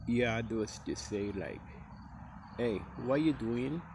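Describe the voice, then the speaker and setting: A man from the Caribbean coast of Costa Rica with a Costa Rican Caribbean English accent, telling stories casually in a relaxed way and acting out quoted speech in a higher voice. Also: a little slow, noisy background. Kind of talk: casual storytelling.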